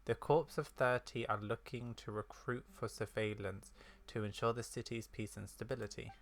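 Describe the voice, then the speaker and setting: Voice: mid-range voice, fairly even intonation, normal speed, slight background noise. A gaming streamer giving casual commentary, reading game text aloud and musing to themselves. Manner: reacts aloud to the game, muses to self.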